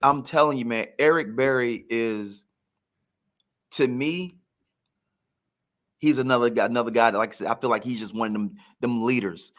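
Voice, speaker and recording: A man's voice: medium pitch, normal speed, pauses often, fairly bright tone. Man, mid-range voice, casual sports talk, storyteller, emphatic repetition, ex-player. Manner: emphatic, repeats phrases for emphasis. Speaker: former pro football player, guest storyteller.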